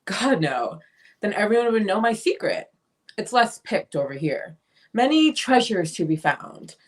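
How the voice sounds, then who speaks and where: A woman playing a chatty, affectionate and slightly vain older sister in a dramatic script reading, expressive and teasing. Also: mid-range voice, a little slow. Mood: chatty, breezy.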